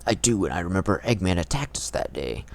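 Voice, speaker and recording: A man's voice: deep voice, big pitch swings, fast talker, slight background noise. A man reading fan fiction aloud in a mocking, irreverent way, tossing in crude comments of his own.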